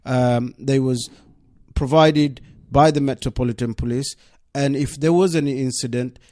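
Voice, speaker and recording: A man's voice: medium pitch, fairly even intonation, moderate pace, a little mellow, dry recording. A man whose first language is Bengali, a deputy mayor answering interview questions earnestly in a radio studio and leaning on "obviously". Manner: earnest, leans on "obviously", insistent.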